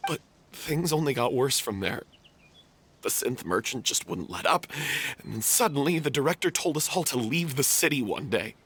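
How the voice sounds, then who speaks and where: Game role: self-blaming young man, husky, emotionally wide-ranging, halting. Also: medium pitch, fairly bright tone. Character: guilt-ridden young male theater troupe member confessing a mistake. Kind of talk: video game voice acting.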